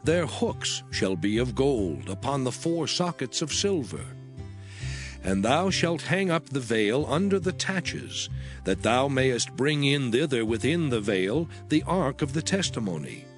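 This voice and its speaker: A man narrating a dramatized scripture reading in a solemn, measured, formal manner. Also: mid-range voice, very animated. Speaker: audio Bible narrator. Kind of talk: dramatized scripture reading from the King James Bible. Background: background music.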